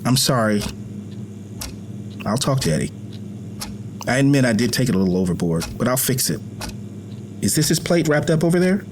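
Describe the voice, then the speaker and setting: A man's voice: medium pitch, animated, moderate pace, long pauses, background noise, lightly acted. A middle-aged man playing a protective, anxious American father in a naturalistic drama, earnest and pleading. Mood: apologetic.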